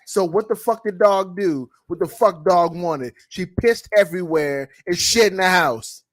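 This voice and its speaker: A man's voice: high-pitched, unhurried. A man chatting casually as a guest reviewer, blunt, profane and joking in an insistent way. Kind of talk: casual chat.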